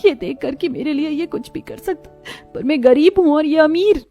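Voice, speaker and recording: Woman voicing a modest young village woman, high-pitched. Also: normal speed, dark tone, faint music behind. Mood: worried, tender. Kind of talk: animated family drama character voice.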